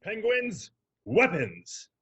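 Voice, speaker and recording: Menacing penguin ringleader, played by a man: commanding, husky, swooping delivery for a cartoon radio comedy. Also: sits high, huge pitch swings, drawn-out words, pushed and bright, lo-fi sound, heavily acted. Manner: commanding, threatening. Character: menacing penguin leader of a flightless bird gang.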